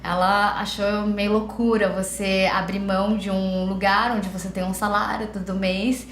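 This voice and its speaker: A woman's voice: medium pitch, level tone, a little slow, a little hiss or hum. Brazilian woman in a roomy room. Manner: hesitant, reflective, frequent fillers. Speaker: entrepreneur. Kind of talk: documentary interview.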